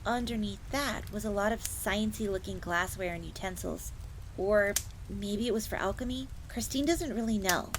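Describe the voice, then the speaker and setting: A woman's voice: mid-range voice, moderate pace, close to an everyday voice. A woman playing a chatty, deferential fox maid who talks about herself in the third person in a fantasy audio roleplay. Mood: chatty, explanatory. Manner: refers to self in third person, informal, explanatory. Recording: clear background noise, crackling fire ambience.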